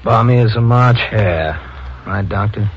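An actor playing a matter-of-fact police detective in a 1940s radio drama. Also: male voice, on the low side, measured pace, husky. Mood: businesslike. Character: gruff, weary police detective. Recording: lo-fi old radio recording with faint hiss.

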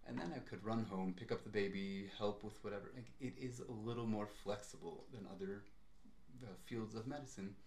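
A young man talks in a measured, reflective way, pausing to weigh his words. His voice sits fairly low, and the recording carries a noticeable room echo. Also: a little mellow. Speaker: podcast co-host. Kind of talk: casual chat. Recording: roomy echo.

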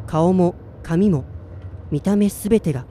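A man's voice: high voice, a little slow, warm tone, slight background noise. An actor playing a listless, self-doubting man in his twenties in a radio drama, plain-spoken in narration and dialogue. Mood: reflective, subdued. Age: 20s.

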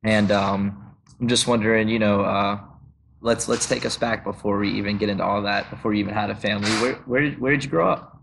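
A man's voice: lowish voice, steady tone, fairly quick, gravelly, background noise. A man hosting an interview, asking rambling questions with enthusiasm and frequent laughs. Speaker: podcast host, interviewer. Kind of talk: casual interview.

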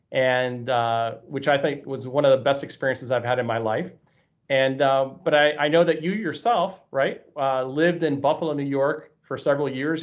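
A man hosting an interview show, a campus president, friendly and loose with fillers and repeated words. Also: mid-range voice. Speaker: host, college campus president. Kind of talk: hosted interview. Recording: slightly dull lo-fi sound.

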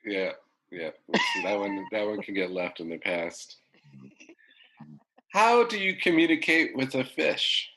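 A man's voice: on the low side, big pitch swings, measured pace, bright, forceful tone, rough recording. A man with a cold, sounding stuffed up, hosting a casual podcast and laughing often as he runs a joke game. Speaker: podcast host.

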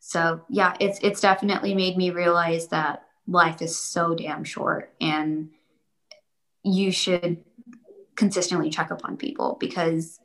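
A woman's voice: on the low side, level tone, measured pace, fairly bright tone. A young woman answering interview questions casually, rambling and repeating herself with many fillers.

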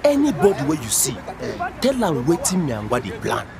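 A man's voice: on the high side, huge pitch swings, moderate pace, gravelly, heavily acted. A man playing a scheming alcohol trader in a Pidgin radio drama, with a Nigerian accent and a conspiratorial, persuasive manner. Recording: loud crowd and music behind.

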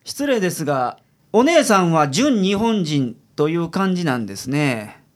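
A man's voice: on the high side, big pitch swings, normal speed. A man playing a shabby, shrewd private detective with a Kansai accent and a dry, teasing manner in a radio comedy. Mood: probing. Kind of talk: radio comedy drama acting.

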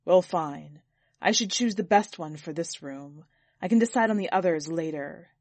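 A woman voicing a vain, pompous, quick-tempered lordly master in a story, speaking with indignant emphasis. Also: lowish voice, a little mellow, no echo, pitched below the performer's own voice. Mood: self-important.

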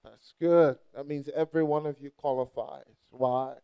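A man's voice: on the high side, steady tone, moderate pace, clean tone, muffled recording. A young adult man from Nigeria preaching a playful, charismatic sermon and rousing the crowd with call-and-response.

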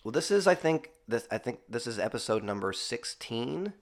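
A man in his thirties hosting a casual podcast, hoarse and raspy from a cold, rambling and stopping to start over. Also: medium pitch, big pitch swings, moderate pace. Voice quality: froggy, raspy. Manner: rambling, self-interrupting, laughs often. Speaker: podcast host. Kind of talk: casual chat.

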